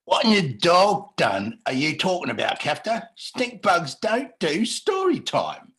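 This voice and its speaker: A performer voicing a salty, blustering cartoon bug who plays a fearsome pirate captain, gravelly and husky, in growling pirate dialect with theatrical menace. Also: mid-range voice, wildly swooping pitch, a little slow, fairly bright tone, full character voice. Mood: grumpy, baffled. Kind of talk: animation table read.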